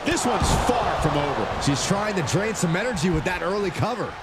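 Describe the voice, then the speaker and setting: A man playing an animated, easily awed wrestling commentator in a video game. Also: high-pitched, a little fast, heavy background noise. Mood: excited. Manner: animated, reactive.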